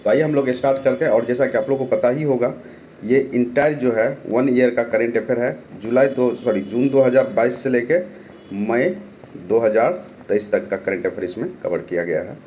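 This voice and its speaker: A man's voice: medium pitch, normal speed, mellow, a little hiss or hum. Bihari man with a sore throat teaching. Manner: reads a question then gives the answer, repeats key names. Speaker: online exam-prep teacher. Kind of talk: current-affairs quiz lesson.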